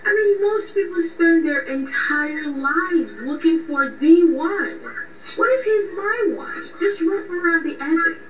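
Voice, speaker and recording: A woman's voice: very high voice, slow pace. A woman playing a lovesick, vulnerable young woman in a radio drama, hesitant, defensive and pleading. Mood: lonely, anxious. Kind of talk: radio drama phone conversation. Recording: muffled, echoey, distant, faint noise.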